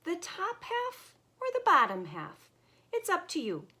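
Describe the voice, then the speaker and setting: A woman voicing a clever, chipper male hare in a children's storybook, eager and persuasive as he pitches to his neighbor. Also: huge pitch swings, measured pace, sharp tone, full character voice.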